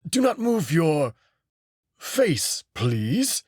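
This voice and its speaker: A man's voice: on the high side, very animated, very slow, no echo, clean studio sound, pitched above the performer's own voice, exaggerated voice. A man voicing a fussy, curt old male wolf makeup artist who gives clipped, bossy orders in a visual novel reading. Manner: clipped, bossy, polite commands.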